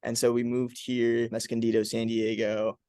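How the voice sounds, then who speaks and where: A young man from Uzbekistan hosting a casual interview over a video call, relaxed and full of "like"s. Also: mid-range voice, moderate pace, clean tone, no room sound, clean studio sound. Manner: relaxed, filler-heavy, with many "like"s. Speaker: host.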